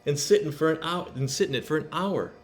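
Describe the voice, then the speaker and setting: A man's voice: on the high side, pitched above the performer's own voice, close to an everyday voice. A man reading the part of an earnest, enthusiastic male school principal in a children's storybook, with emphatic delivery. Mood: earnest, insistent. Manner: emphatic, proud, drawn-out praise.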